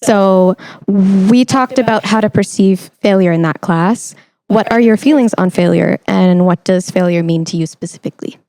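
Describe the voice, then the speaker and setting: A woman's voice: medium pitch, moderate pace, dark tone, no room sound. A young woman hosting a podcast interview in a conversational, reflective way, thinking aloud as she phrases her questions. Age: young adult. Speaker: podcast host.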